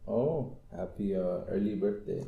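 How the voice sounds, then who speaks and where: Young student interviewer, low and dark, short scripted questions, echoey room. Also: male voice, unhurried, gravelly, dull audio. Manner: brief, reads prepared questions with short reactions.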